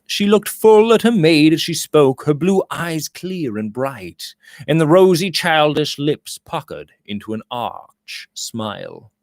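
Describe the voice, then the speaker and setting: Animated male storyteller, very dry sound. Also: medium pitch, no room sound. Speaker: audiobook reader. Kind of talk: expressive narration of a Victorian novel.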